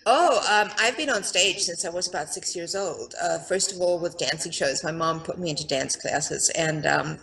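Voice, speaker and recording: Middle-aged woman, South African accent, lowish voice, chatty and self-deprecating, headset mic on a video call, echoey and distant. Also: normal speed, gravelly, few pauses, fairly bright tone. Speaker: actress, interview guest. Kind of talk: casual video-call interview. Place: bright room with a window. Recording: roomy, distant-sounding call audio.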